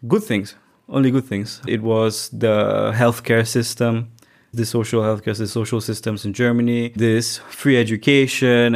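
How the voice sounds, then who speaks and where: A young man from Malaysia talks through his story in a relaxed interview. His voice sits a little low. He thinks out loud, restarting phrases as he goes. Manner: reflective, thinks aloud with restarts. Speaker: international student. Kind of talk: casual interview chat.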